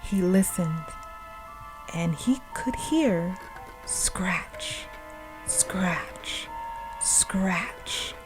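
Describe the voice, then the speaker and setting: A woman storyteller narrating a ghost story in a suspenseful, expressive, dramatic style. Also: fairly low pitch, very slow, pauses often, poor audio. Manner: suspenseful, expressive, dropping to breathy hush. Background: music.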